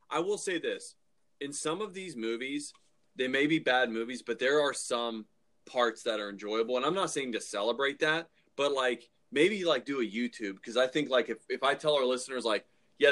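A man's voice: medium pitch, normal speed, fairly bright tone. A man co-hosting a podcast, talking casually and emphatically, with informal, opinionated phrasing. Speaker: podcast co-host.

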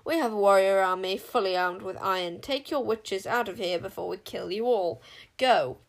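A woman voicing a proud, imperious warrior queen in an audiobook, brisk, haughty and commanding. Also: medium pitch, lightly acted.

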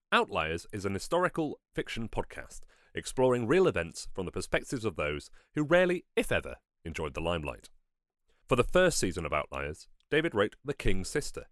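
A man's voice: deep voice, fairly bright tone, dry recording, clean studio sound. A man speaking as a podcast producer and host, making informal announcements in an enthusiastic, conversational way.

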